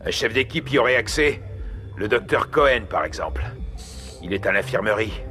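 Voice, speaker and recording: Casting: jaded game scientist, lowish but forceful bright voice, sardonic. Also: male voice, animated, normal speed, soft background music. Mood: informative. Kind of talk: dubbed video game dialogue.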